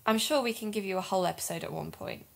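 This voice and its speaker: Investigative podcast host for audio drama, female actor, earnest, supportive, medium pitch. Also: quick pace, creaky.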